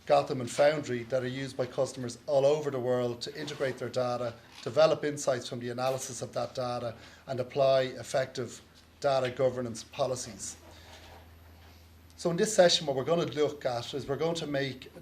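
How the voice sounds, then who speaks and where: A man's voice: medium pitch, fairly even intonation, fairly quick, a little hiss or hum. A man with an Irish accent introducing a conference panel in a chatty, lightly joking way, with frequent ums. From Ireland. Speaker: session moderator. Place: conference hall.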